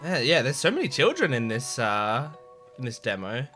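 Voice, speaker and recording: A man's voice: mid-range voice, big pitch swings, moderate pace, pushed and bright. A man in his twenties chatting casually and enthusiastically as a YouTube reaction host, close to a desk microphone in a bedroom. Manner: enthusiastic, gushing, swearing. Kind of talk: casual excited reaction chat. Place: small bedroom at a computer desk. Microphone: desk boom microphone. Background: game trailer music.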